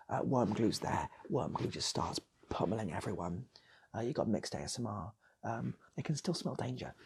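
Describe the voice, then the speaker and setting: A man improvising a comic story in a soft, breathy ASMR style, often repeating phrases and slipping into whispers. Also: medium pitch. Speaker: storyteller, channel host.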